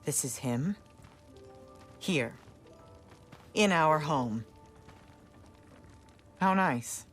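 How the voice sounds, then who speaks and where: A woman's voice: low-pitched, expressive, extremely slow, soft background music, full character voice. An actress playing a proud, guarded queen and protective mother who speaks in a cool, clipped, sarcastic way.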